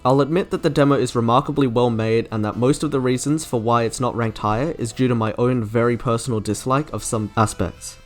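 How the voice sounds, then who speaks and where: A man reading a scripted video review as its narrator. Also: mid-range voice. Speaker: video essay host ranking game trailers.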